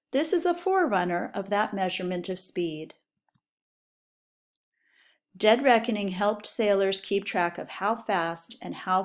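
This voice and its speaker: A woman teacher reading educational text aloud for pupils, with clear, deliberate, instructional phrasing. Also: medium pitch.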